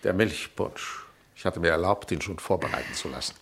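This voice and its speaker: Low-voiced, deferential manservant. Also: male voice, a little fast, gravelly, a bit bright, slightly rough, heavily acted. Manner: deferential, formal. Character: deferential, formal manservant, attentive and proper. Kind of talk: German radio play acting.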